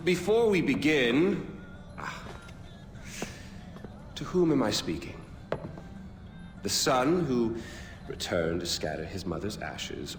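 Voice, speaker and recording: An actor playing a flamboyant, smug tyrant king who mocks and threatens at a drawn-out, theatrical pace. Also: fairly low pitch. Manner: mocking, theatrical, drawn-out menace. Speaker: game villain. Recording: hall reverb with loud music.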